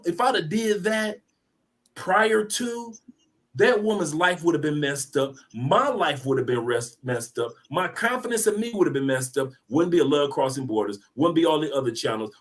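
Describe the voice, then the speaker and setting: A man's voice: medium pitch, fairly bright tone. A man in his fifties lecturing emphatically in a live discussion, repeating his points for force. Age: 50s. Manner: preachy, emphatic, repeats phrases. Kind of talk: passionate call-in discussion.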